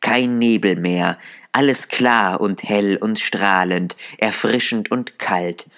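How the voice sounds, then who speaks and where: Slow, measured male audiobook narrator. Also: lowish voice, fairly bright tone. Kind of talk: scripted narration.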